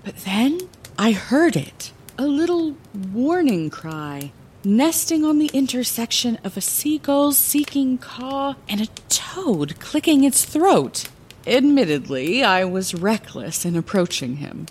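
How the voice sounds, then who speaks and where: A woman's voice: a little high, very animated, takes it slowly, darkish tone, husky, full character voice. An actress playing a fond, playful middle-aged woman lighthouse keeper, telling her past as a theatrical bedtime story in a fantasy audio drama. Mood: wistful, vivid. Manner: theatrical, wordy, chatty asides.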